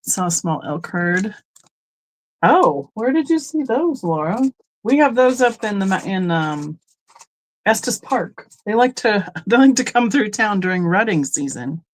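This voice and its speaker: A woman's voice: medium pitch, sing-song, a little slow, rough recording. Middle-aged woman from Colorado, live sale host, casual and chatty, rambling asides, mid-range voice. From Colorado, United States. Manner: chatty, rambling asides, frequent ums and laughs. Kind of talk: casual live-stream selling chat.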